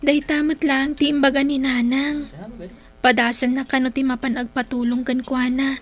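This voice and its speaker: An actress playing an earnest, pleading young daughter in a radio drama, with an Ilocano accent. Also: female voice, sits high, flat delivery, moderate pace, lightly acted. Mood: worried.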